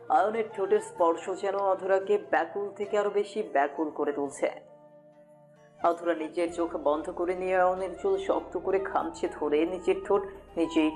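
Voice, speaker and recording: A man giving a scripted narration of a romantic story. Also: on the high side. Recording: music bed under the voice. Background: music.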